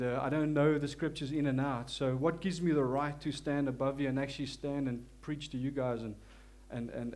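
A man with a South African accent preaching a church sermon, earnest and humble, with frequent restarts and softer, slower prayer passages. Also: medium pitch. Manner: prays softly and slowly. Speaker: lay preacher.

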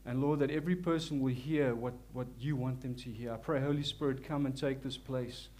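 A man's voice: mid-range voice, steady tone. Earnest South African man preaching. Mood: prayerful. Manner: earnest, humble, halting with many restarts; prays softly and slowly. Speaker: lay preacher. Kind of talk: church sermon and prayer. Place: church.

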